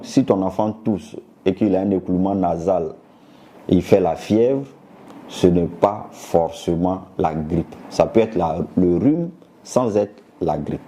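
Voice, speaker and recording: A man speaking as a doctor, explaining a health topic to viewers in a didactic way and pausing to search for words. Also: deep voice, warm tone, slight background noise. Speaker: doctor giving a health talk.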